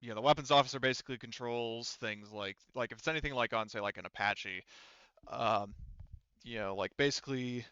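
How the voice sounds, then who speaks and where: A man running a tabletop roleplaying game as game master, explaining things casually and haltingly with frequent restarts. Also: mid-range voice, pushed and bright, clear, resonant tone, dry recording, highs cut off.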